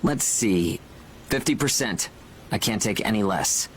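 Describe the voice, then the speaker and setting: A man's voice: on the low side, animated, measured pace, slight background noise, low-quality recording. Cocky, blunt young mercenary man. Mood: bargaining. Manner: casual, blunt, self-assured. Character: cocky, pragmatic young male mercenary fighter. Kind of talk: video game cutscene acting. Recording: game music behind the voice.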